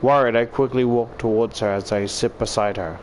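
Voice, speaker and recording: A man's voice: mid-range voice, fairly even intonation, normal speed, slight background noise. A man narrating a first-person story dramatically and expressively, as if reading a visual novel aloud. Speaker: visual novel reader, YouTuber. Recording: loud background music. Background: loud music.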